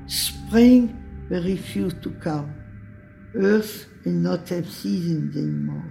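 A woman reading poetry slowly in a grave, deliberate manner. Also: lowish voice, vocal fry, heavily acted. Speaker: English-language reader in a radio poem adaptation. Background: music.

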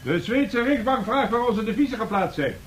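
A man's voice: sits high, sing-song, normal speed. An actor playing an anxious, urgent and earnest male business associate in a 1970s radio drama. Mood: harried. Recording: vintage radio recording, faint noise.

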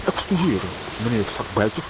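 A man's voice: medium pitch, big pitch swings, normal speed, natural voice. An actor playing a bumbling, grandly enthusiastic cartoon man in a children's audio drama, pompous and theatrical. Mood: flustered. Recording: noisy audio drama recording, hissing ambience.

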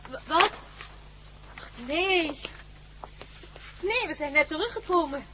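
A fretful female companion in a radio play, with a high voice and an anxious, pleading delivery. The old drama recording is heavily noisy. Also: animated, slow, full character voice. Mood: pleading, agitated.

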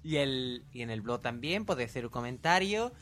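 A man's voice: mid-range voice, animated, a little fast, fairly bright tone, a little hiss or hum. A man from Spain hosting a podcast, chatting in a rambling casual way and asking listeners to send suggestions. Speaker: podcast host. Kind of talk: casual chat. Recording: faint background music.

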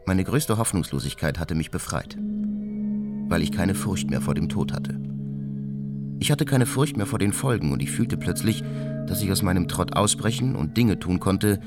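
An actor playing a cold, embittered anarchist bomber in a radio drama, delivering deliberate, self-justifying confessions. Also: male voice, low voice. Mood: cold, liberated.

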